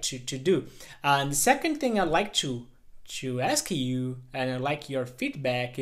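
A man in his thirties from Brazil with a Brazilian Portuguese accent, earnestly talking to camera in his living room, with many fillers and restarts. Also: mid-range voice. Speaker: YouTuber. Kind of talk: casual talk to camera.

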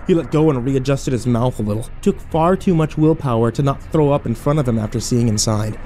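A man playing an overwhelmed, foul-mouthed young man who narrates his ordeal haltingly in a horror audio drama. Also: medium pitch, mellow, a little hiss or hum, lightly acted. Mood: shaken, bewildered. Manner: halting, self-interrupting, swearing, incredulous.

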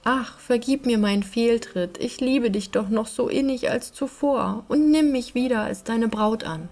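A woman voicing a remorseful young princess bride in a fairytale, tender, breathy and pleading. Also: a little high, a little slow, a little mellow, soft background music, voice pushed up.

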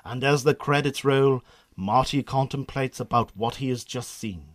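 A man's voice: mid-range voice, normal speed, natural voice. A man narrating a scripted radio drama in a theatrical storytelling manner.

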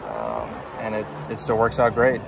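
Low, gravelly man over background noise. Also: big pitch swings, moderate pace. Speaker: DIY video presenter. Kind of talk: casual hands-on walkthrough. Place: inside a parked pickup truck. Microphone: handheld phone camera. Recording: clear background noise, vehicle ambience.

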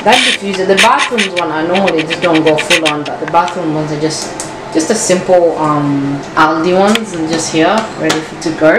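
Chatty woman touring her echoey home. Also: low-pitched. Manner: chatty, gushing, repeats words for emphasis. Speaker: home vlogger giving a house tour. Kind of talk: casual house tour chat. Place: indoors, bright tiled home rooms. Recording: echoey home rooms with music behind. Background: background music.

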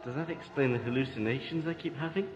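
An actor playing a light-headed, distracted young companion who seems to drift dreamily, in a science-fiction audio drama. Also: male voice, medium pitch, fast, natural voice. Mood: dazed. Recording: dull, echoey chamber with long reverb.

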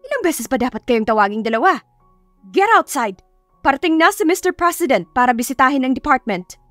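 A woman's voice: high-pitched, expressive, brisk, a bit bright, no room sound, soft background music, voice pushed up. A woman voicing a stern, frightening female head manager in a story, commanding and scolding, with a Filipino accent.

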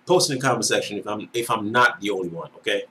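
A man's voice: fairly low pitch, quick pace, sharp tone, slight background noise. A man in his thirties with an Antiguan accent, chatting casually through a reaction video at his desk, laughing and asking viewers if they agree. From Antigua and Barbuda. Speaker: reaction creator. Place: small room indoors.

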